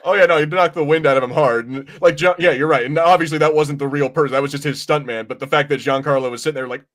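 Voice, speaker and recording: A man's voice: a little high, fairly even intonation, quick pace, fairly bright tone. Casual, slangy male podcast host. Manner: informal, slangy, mildly profane. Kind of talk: casual film review chat.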